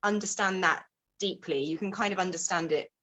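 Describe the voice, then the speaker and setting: A woman's voice: fairly low pitch, brisk, fairly bright tone. A woman hosting a casual interview, talking in an informal, reflective way as she explains ideas. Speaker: host.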